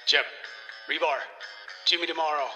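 A man's voice: fairly high pitch, steady tone, moderate pace, pushed and bright, muffled recording. Man playing an anxious stranded caller over music. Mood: anxious, urgent. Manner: urgent, pleading. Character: anxious stranded male time traveller on payphone. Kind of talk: comedy radio theater phone message.

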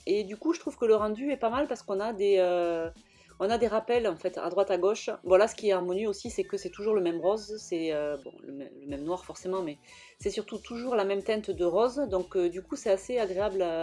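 A young woman narrating her own craft video in a casual, halting way, full of fillers and restarts. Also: medium pitch, dark tone, faint music behind. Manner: hesitant, many fillers and restarts. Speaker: scrapbooking vlogger.

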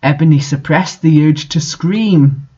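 A man with a notably high voice reads amateur fiction aloud. His delivery is theatrical and wry, and the recording is a bit dull and lo-fi. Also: a little slow, mellow, breathy edge. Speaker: host reading fan fiction aloud. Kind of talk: dramatic reading with casual asides.

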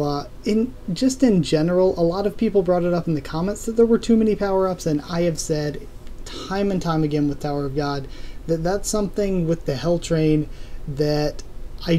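A young man in his twenties reviewing a webcomic to his webcam from a small room, hoarse from losing his voice and rambling with many ums and self-corrections. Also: fairly high pitch, dark tone, a little hiss or hum. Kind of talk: casual review monologue to camera. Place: small room indoors, plain wall.

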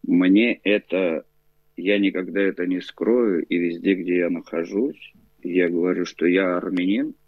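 Man, forties, from Baku, low voice, hesitant, many fillers, tinny video-call sound, dry. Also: fairly even intonation, a little slow, dry recording. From Baku, Azerbaijan. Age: 40s. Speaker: guest. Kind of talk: remote interview, casual storytelling. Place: living room at home.

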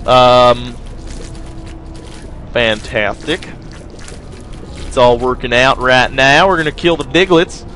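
A man's voice: medium pitch, fairly even intonation, slow pace, long pauses, fairly bright tone, a little hiss or hum. A young man giving casual, rambling commentary over a video game, joking and talking to his viewers. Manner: rambling, joking, self-mocking, talks to viewers. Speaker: gaming video host. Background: faint game music.

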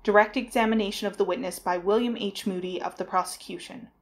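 A woman narrating a trial drama in a formal, deliberate, scripted announcing style. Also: mid-range voice, steady tone.

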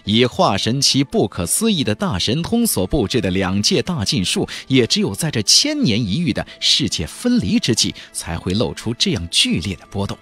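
Husky, highly animated male audiobook narrator. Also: moderate pace, hardly any pauses, faint music behind. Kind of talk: dramatic scripted narration of a fantasy novel.

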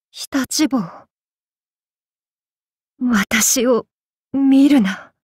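Casting: possessed female samurai for an anime game, vengeful and torn, archaic diction, very slow delivery, slightly breathy, dry sound. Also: on the high side, a little mellow, no echo. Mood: cold, hateful. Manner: archaic, solemn speech with halting pauses, sometimes sinking to whispers. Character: vengeful possessed samurai woman, tormented, torn between two selves. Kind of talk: anime game character voice lines.

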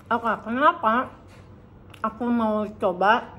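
A woman in her thirties from Indonesia, chatting casually through a food review and humming while she eats, filmed in a bright living room by a camera on the table. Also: on the high side, takes it slowly. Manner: chatty, savoring, hums while eating. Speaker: food vlogger. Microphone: camera on table in front.

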